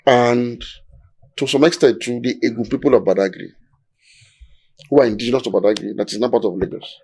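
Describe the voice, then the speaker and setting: Fast-talking Nigerian man lecturing. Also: medium pitch, fairly even intonation, a little mellow, husky. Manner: lecturing, emphatic, builds points step by step. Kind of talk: discussion, explaining history.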